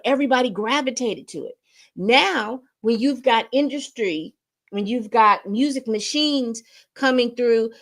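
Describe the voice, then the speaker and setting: A woman in her fifties giving a casual commentary monologue, emphatic and repetitive, often restarting her sentences. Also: fairly high pitch. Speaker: commentary host.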